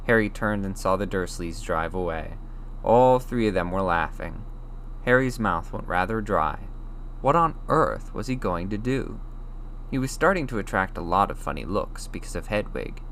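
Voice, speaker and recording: A man's voice: fairly low pitch, sharp tone, a little hiss or hum. A man reading a story aloud as scripted audiobook narration. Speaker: audiobook reader.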